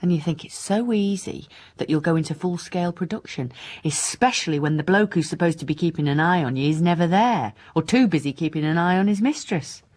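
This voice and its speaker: Radio drama: actress playing weary female inspector, husky, dry delivery, lowish register. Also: fairly quick, few pauses, a little mellow, natural voice. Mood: weary musing. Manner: blunt, dry, questioning. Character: driven, weary, sardonic female police inspector. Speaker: actor.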